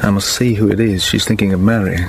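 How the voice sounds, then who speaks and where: An actor playing a remorseful, earnest gentleman father in a radio drama, speaking in a halting, regretful way. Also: lowish voice, fairly even intonation, gravelly. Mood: regretful, resigned. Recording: noisy radio drama recording.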